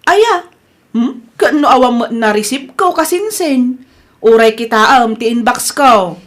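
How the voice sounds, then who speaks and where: A woman's voice: a little high, measured pace, fairly bright tone. A woman playing a curious, puzzled young cousin with an Ilocano accent in a Filipino radio comedy drama.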